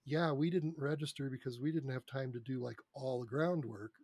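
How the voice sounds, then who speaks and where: Husky-voiced man in casual conversation. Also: mid-range voice, moderate pace, a little mellow, no echo. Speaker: interview guest, radio drama producer. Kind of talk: casual interview storytelling.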